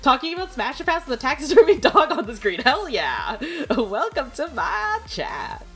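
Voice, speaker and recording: Giggly, chatty streamer woman, lively pitch. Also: normal speed, over music. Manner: chatty, giggly, rambling with fillers. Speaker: VTuber streamer. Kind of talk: casual livestream chat.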